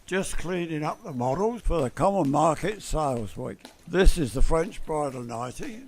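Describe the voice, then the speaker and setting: A man's voice: fairly high pitch, animated, normal speed, natural voice. A man with an English accent playing a cheeky, crude working-class store cleaner in a radio sitcom. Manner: cheeky innuendo, irreverent. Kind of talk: broad radio sitcom acting. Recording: amateur radio play, clear background noise, clicks.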